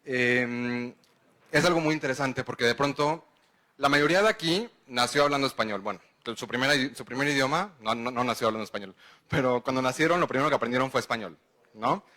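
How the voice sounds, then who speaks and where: A man's voice: mid-range voice, brisk, bright, forceful tone. A man with a Mexican accent giving a conference lecture. He explains step by step and checks in with the audience. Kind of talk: conference lecture answering questions.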